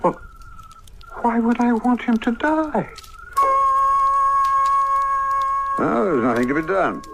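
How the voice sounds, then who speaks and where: Flustered, lively old-radio schemer. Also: male voice, sits high, moderate pace, creaky, loud music behind the voice, heavily acted. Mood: wry. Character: scheming, flustered male friend of a starving painter. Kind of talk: old-time radio comedy acting. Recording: noisy old radio drama recording.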